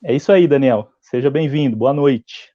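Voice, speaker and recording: A Brazilian man with a Brazilian accent hosting a live interview, courteous and formal, filling pauses with "né". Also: mid-range voice, no room sound. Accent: Brazilian Portuguese. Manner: courteous, formal phrasing with frequent "né". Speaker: host. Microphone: video call. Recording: slightly muffled video-call audio.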